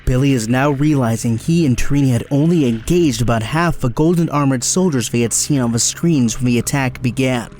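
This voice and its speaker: A man's voice: mid-range voice, brisk, hardly any pauses, darkish tone, a little hiss or hum. A man giving dramatic scripted narration as the narrator of an action audio drama.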